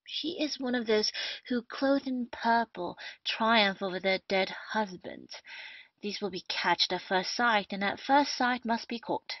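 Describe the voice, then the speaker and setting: A woman's voice: mid-range voice, unhurried, no room sound. A woman playing a witty, cynical rake of a captain in a period comedy audio play, declaiming with mockery. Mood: mocking, bawdy. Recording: dull, dry lo-fi audio.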